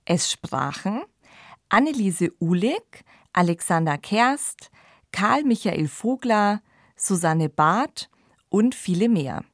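A female podcast host with a medium-pitched voice talks at a slow pace. Her commentary is conversational and reflective, recorded close and dry. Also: animated, no room sound. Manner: explanatory, reflective.

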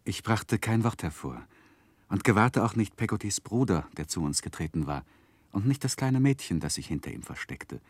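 A male narrator with a deep voice tells a literary story in a 1960s radio play. His delivery is measured and expressive. The recording is dry. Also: breathy edge, no echo.

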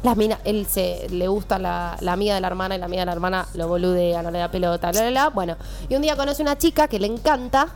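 A woman's voice: medium pitch, steady tone, quick pace, few pauses, darkish tone, faint music behind. Chatty Rioplatense woman film columnist. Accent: Rioplatense Spanish. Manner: chatty, laughing, storytelling. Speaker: film columnist on radio show. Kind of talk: casual radio banter.

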